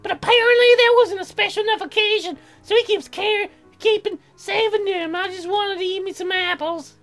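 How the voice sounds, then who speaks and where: A woman's voice: very high voice, steady tone, moderate pace, a bit bright, soft background music, pitched above the performer's own voice. A woman voicing a whiny, dim, childish young female colonist in a game, speaking in mangled slangy grammar. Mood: whiny complaining. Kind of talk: game character voiced in a let's play.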